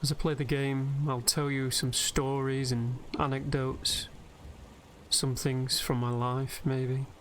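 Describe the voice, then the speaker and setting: A man speaks very slowly in a soothing, gentle way, guiding listeners toward sleep. His mid-pitched voice stays flat and even, with faint noise and nature ambience from a game behind it. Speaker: host and narrator of a game meditation video. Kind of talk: guided sleep meditation commentary over gameplay. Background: game ambience of river and birdsong.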